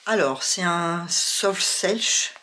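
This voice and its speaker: A woman's voice: lowish voice, very slow, pushed and bright, reverberant, a little hiss or hum. A woman with a cold chatting casually to her viewers about sewing and knitting, hesitant and full of fillers. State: has a cold, sniffling. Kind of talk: casual chat to camera.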